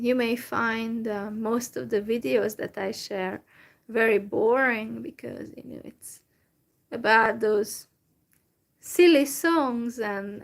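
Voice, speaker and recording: A young woman from Central Europe chatting casually to her viewers in a halting way, with many fillers and restarts. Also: on the high side, gravelly. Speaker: vlogger. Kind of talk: casual solo chat to viewers.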